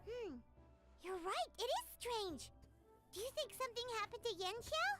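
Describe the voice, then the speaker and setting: A woman voicing a small, excitable, greedy fairy companion in a video game, with a squeaky childlike voice and chirpy manner. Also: normal speed. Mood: puzzled, curious. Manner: chirpy, exaggerated, speaks of self in third person. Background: music.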